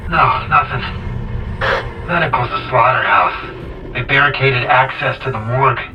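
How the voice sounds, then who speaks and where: A weary male engineer voiced by an actor in a video game, sounding muffled and set back from the mic. He talks tersely and practically at a middling pitch, over faint music. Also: a little slow, far from the mic, noisy background, close to an everyday voice. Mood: grim. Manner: terse, matter-of-fact. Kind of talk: dramatic video game acting.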